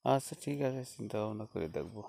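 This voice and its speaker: A man's voice: lowish voice, lively intonation, creaky, dark tone, slight background noise. A man with a Bangladeshi accent playing a polite, hesitant former schoolteacher in a conversational phone-call audio story. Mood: polite, musing. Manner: hesitant, halting, with frequent small acknowledgements.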